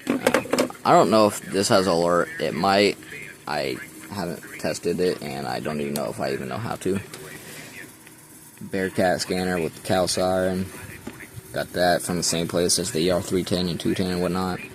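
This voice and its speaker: A man's voice: sits low, normal speed, background noise. A young man casually showing his radio collection in his bedroom, rambling with many ums, filmed point-of-view on a handheld camera. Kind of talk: casual show-and-tell.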